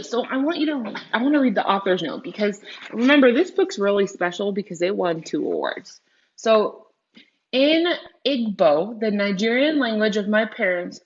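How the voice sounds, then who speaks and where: A woman's voice: mid-range voice, fairly bright tone, muffled recording. A woman teacher doing a read-aloud lesson for children.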